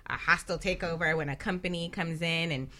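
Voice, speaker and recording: A woman's voice: lowish voice, steady tone, brisk, sharp tone. A woman co-hosting a conversational radio talk as a financial advisor, explaining things with rhetorical questions.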